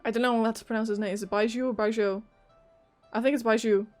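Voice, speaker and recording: A woman's voice: on the high side, steady tone, fast, darkish tone, soft background music. A woman giving casual, informal and sweary reactions as she plays a video game. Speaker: gamer reacting to a playthrough. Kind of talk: casual gameplay commentary.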